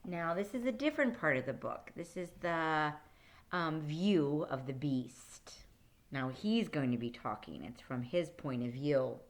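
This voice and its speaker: A woman storyteller with a very animated, swooping delivery and a slow pace. She reads to children expressively and dramatically, pausing to explain things as a teacher would. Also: sits low. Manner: expressive, dramatic, dropping into hushed whispers. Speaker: storyteller reading a picture book to children. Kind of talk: animated read-aloud with teaching asides.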